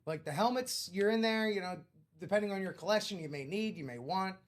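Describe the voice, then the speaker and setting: A man's voice: high voice, animated, a little fast. A man co-hosting a live card break stream, bantering playfully with rhythmic wordplay. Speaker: co-host and producer.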